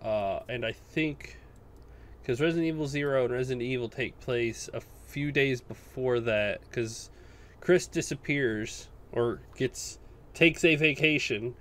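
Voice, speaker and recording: A man's voice: medium pitch, a little slow, fairly bright tone. A man co-hosting a casual gaming chat, explaining details in an insistent, exacting way. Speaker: podcast co-host. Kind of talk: casual video game chat.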